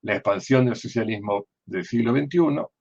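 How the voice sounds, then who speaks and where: An older man with an Argentine accent giving political commentary in a deliberate, didactic way, often posing questions and answering them himself. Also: mid-range voice, gravelly, darkish tone, muffled recording. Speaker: former diplomat and political analyst.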